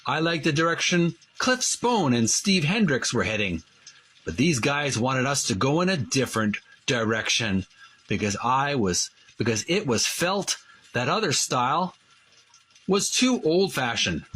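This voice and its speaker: A man reading aloud from a book as a host, with casual asides, stretching words and pausing mid-phrase. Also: mid-range voice, very animated, fairly bright tone, a little hiss or hum. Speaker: host of a retro gaming book-reading channel.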